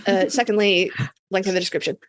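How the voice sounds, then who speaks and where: A woman's voice: medium pitch, fast, no room sound. Chatty, playful woman co-host. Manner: chatty and playful, with frequent restarts. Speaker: podcast co-host. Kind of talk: casual chat.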